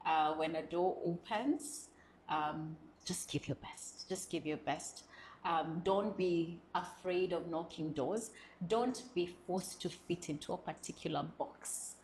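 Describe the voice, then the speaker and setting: A woman's voice: fairly low pitch, slow, a little mellow, reverberant, slight background noise, low-quality recording. A young woman from South Sudan, a guest speaking earnestly and expressively in an interview, laughing often. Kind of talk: reflective interview conversation.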